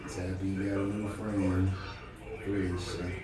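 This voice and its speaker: A man reading a storybook aloud in an encouraging, conversational manner, pausing to check that the listener follows. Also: sits low, flat delivery. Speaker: storybook reader. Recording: very echoey, distant mic, music behind.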